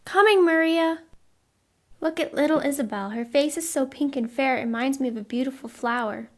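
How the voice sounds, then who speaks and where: A woman's voice: sits high, moderate pace, lightly acted. A woman playing a cheerful, doting household servant in an audio drama. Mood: admiring, bright.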